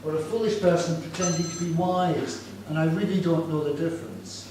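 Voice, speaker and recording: A man's voice: fairly high pitch, little pitch movement, brisk. A Scottish man in his sixties speaking informally to students about a drawing he made, self-mocking and asking the audience questions. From Scotland. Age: 60s. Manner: self-deprecating, chatty, asks the audience questions. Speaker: storyteller presenting his artwork. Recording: echoey room, distant mic, background noise.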